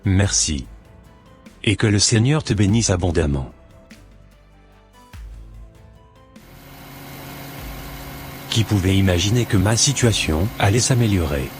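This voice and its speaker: Man voicing a low-pitched, devout, humble man. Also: lively intonation, moderate pace, faint music behind. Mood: earnest, reflective. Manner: pious, earnest, formal. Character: devout, humble formerly homeless man, earnest and grateful.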